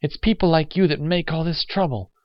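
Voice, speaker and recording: A man's voice: a little high, brisk, no echo, highs cut off, voice pushed up, lightly acted. A man voicing a disgusted woman who scolds with muttered reproach in an audiobook. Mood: horrified reproach. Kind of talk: audiobook character acting.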